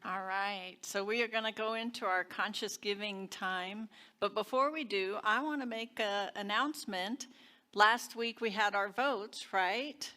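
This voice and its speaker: Female church service leader, bright tone, for prayers and congregational notices. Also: medium pitch, unhurried.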